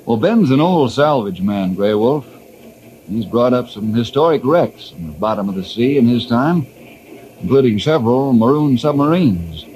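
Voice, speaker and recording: An actor playing a friendly, steady ranger leader in an old time radio drama, explaining things calmly. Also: male voice, mid-range voice, moderate pace, natural voice, poor audio. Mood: friendly, explanatory.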